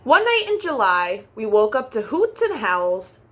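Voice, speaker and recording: Bright, lively woman reading to kids. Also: on the high side, measured pace. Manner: expressive, sing-song read-aloud. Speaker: children's storytime host. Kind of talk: reading a picture book aloud.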